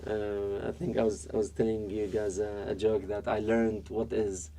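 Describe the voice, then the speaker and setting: A man from Iraq, a podcast guest chatting casually, talking with many fillers and restarts and growing animated as he tells stories. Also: on the low side, normal speed, slight background noise. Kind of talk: casual interview chat.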